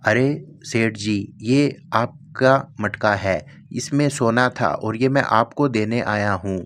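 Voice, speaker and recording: A man's voice: fairly low pitch, slow pace, a bit bright. A man voicing an earnest, grateful poor farmer in a cartoon story.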